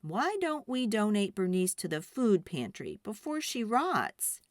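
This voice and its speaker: Storybook dad, played by a woman: tentative, coaxing, unhurried, close dry sound. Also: mid-range voice, very animated, dry recording. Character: hesitant, reasoning storybook father.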